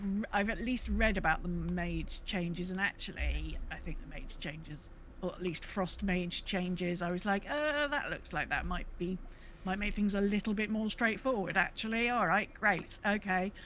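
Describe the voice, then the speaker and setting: A woman's voice: on the low side, a little slow, fairly bright tone. Chatty woman with noise behind her. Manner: chatty, enthusiastic. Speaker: podcast co-host. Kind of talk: casual gaming podcast chat.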